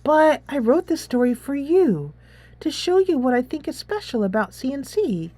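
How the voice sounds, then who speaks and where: A woman voicing a sweet, earnest, eager high school girl from a visual novel game. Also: very animated, normal speed, mellow. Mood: earnest, hurt. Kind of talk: visual novel character read aloud.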